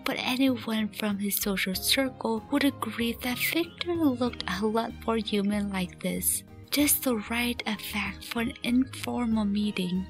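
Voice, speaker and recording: A woman's voice: on the high side, unhurried, hardly any pauses. A woman reading scripted story narration as the narrator of a fan radio play. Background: music.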